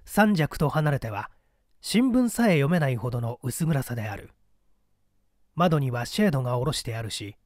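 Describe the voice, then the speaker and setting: A man reading a mystery story as a scripted, dramatic narration in a deliberate, theatrical storytelling manner. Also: mid-range voice, big pitch swings, darkish tone, dry recording, studio quality. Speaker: audiobook narrator.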